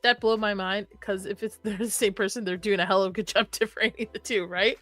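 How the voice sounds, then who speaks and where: A woman's voice: mid-range voice, fairly even intonation, quick pace, a bit bright, no room sound, soft background music. A young adult woman hosting a horror livestream, chatting casually and reading comments, rambling and laughing often; her first language was Arabic.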